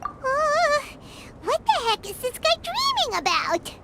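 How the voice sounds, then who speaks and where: Squeaky, cheeky little fairy. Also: female voice, huge pitch swings, takes it slowly, heavy background noise, exaggerated voice. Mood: bossy, indignant. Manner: speaks of self in third person, exclaiming. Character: tiny floating childlike fairy companion, cheeky, vain, excitable. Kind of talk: video game voice acting. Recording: music behind the voice.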